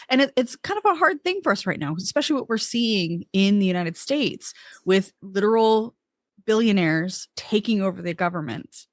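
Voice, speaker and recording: A woman's voice: mid-range voice, no room sound, clean studio sound. A woman hosting a podcast interview, thinking aloud in a reflective way with some restarts. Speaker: podcast host.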